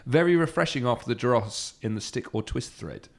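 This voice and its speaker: A man's voice: mid-range voice, expressive, normal speed, darkish tone. A man chatting casually as a podcast host. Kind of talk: casual chat.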